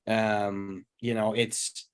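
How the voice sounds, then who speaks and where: A man in his thirties talking casually in a group discussion, reflective and halting, with long pauses. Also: fairly low pitch, flat delivery, clean tone. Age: 30s. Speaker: table read participant.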